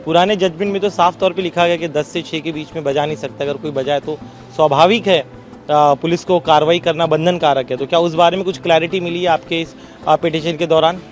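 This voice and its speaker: A man's voice: a little high, steady tone, brisk, faint music behind. A male news reporter interviewing outdoors, pressing his guest to explain things in plain language.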